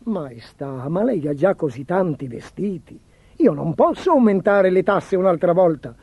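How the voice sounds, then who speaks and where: Man playing a fretful, husky royal chancellor. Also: high voice, big pitch swings, measured pace, dry recording, muffled recording, full character voice. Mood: pleading. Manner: exasperated, deferential, fretful. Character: harried, anxious male chancellor of a fairy-tale court. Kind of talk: storybook character voice.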